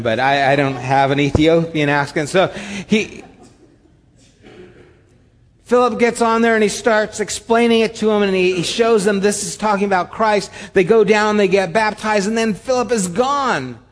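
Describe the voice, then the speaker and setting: A pastor giving a conversational sermon with humorous asides. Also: male voice, sits high, normal speed, long reverb tail.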